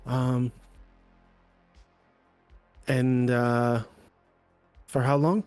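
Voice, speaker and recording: A man's voice: mid-range voice, level tone, drawn-out words, darkish tone, clear, resonant tone, dry recording, soft background music. A man putting on a hammy Italian innkeeper. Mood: eager. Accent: put-on Italian accent. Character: overly forward Italian male hotel-bar owner.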